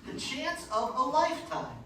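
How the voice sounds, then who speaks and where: A man's voice: very high voice, huge pitch swings, a little slow, pushed and bright, heavily acted. An actor playing a brash, pushy young businessman with a salesman's patter in a 1940s style radio play. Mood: brash, eager. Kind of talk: live radio play acting, 1940s American. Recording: echoey hall from far off, faint noise.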